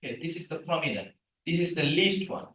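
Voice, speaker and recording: Man, native Tamil speaker, guest lecturer, animated, quizzes the room, fairly high voice, echoey room. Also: fast talker, far from the mic. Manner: animated, asks the room questions. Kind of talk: lecture on scientific writing. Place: seminar room, hybrid session.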